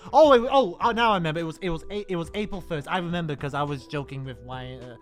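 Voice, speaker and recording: A man's voice: fairly high pitch, fast talker. A man co-hosting a casual chat, talking in a rambling, stop-start way. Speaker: podcast co-host. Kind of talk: casual chat about video game films. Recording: music behind the voice.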